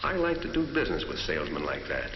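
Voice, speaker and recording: Plain-spoken approving grocer, deep animated voice, echoing thought effect, old film. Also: male voice, quick pace, heavily acted. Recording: echoing, muffled film soundtrack, hiss.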